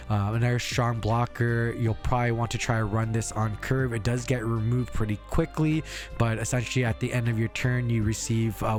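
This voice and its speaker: A man casually walking through his card game deck, pausing often with fillers as he explains each card. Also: mid-range voice. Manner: relaxed, many fillers and uh's. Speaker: card game content creator. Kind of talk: casual deck profile walkthrough.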